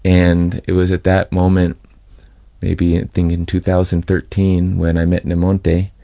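A middle-aged American man from California giving a reflective, halting personal account as an interview guest. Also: low-pitched, monotone, vocal fry, warm tone, no room sound. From California, United States.

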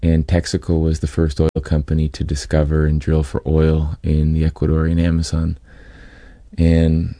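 Very deep, gravelly American man. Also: completely flat delivery, moderate pace, dark tone, no echo, studio quality. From California, United States. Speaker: interview guest, activist. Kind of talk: reflective personal account in an interview.

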